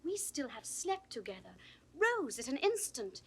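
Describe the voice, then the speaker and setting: Young british noble lady, high voice, really animated and earnest, old shakespeare recording. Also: a little slow, heavily acted. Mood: pleading, impassioned. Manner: earnest, impassioned, articulate. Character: devoted, spirited young noblewoman, the duke's daughter. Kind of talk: 1960s Shakespearean audio drama acting.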